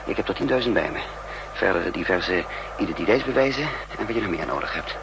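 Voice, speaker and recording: An actor playing a resolute, calculating spy leader in a deliberate, commanding 1960s radio drama style. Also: male voice, low-pitched, expressive, gravelly. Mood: businesslike. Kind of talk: 1960s Dutch radio drama acting, part narration. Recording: noisy 1960s radio recording.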